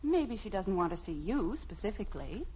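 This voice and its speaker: An actress playing a sensible middle-aged wife and mother, gently chiding in an American accent and 1950s radio sitcom style. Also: medium pitch, sing-song, moderate pace, slightly rough. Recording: dull, noisy old radio recording.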